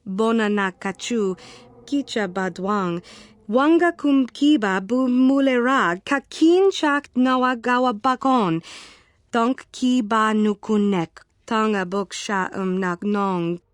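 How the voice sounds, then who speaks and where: A woman's voice: a little high, slow, dry recording. A woman playing an intense fallen Jedi in a video game, speaking lines in an invented alien tongue. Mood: questioning.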